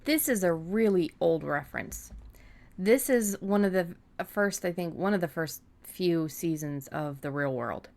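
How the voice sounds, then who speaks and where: A woman's voice: fairly low pitch, animated, measured pace, vocal fry, darkish tone. A woman co-hosting a podcast, talking casually and informally about writing, often rambling and correcting herself. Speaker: podcast co-host. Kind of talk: casual writing-advice discussion.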